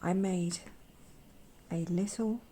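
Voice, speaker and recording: A young woman with a croaky voice from a sore throat, gently hosting a casual art vlog where she swatches watercolours. She talks softly, often slips into a whisper, and hesitates with fillers. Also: lowish voice, fairly even intonation, slow pace, a little hiss or hum. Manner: soft-spoken, gentle, often drops to a whisper. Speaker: watercolour artist and channel host.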